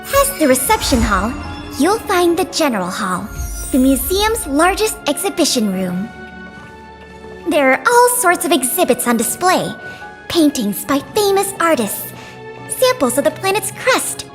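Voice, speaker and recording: A polite, earnest young woman guiding visitors in a video game. Her voice is high and very animated, eager and friendly as she explains. Faint music plays underneath. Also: unhurried. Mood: eager, explanatory. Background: faint background music.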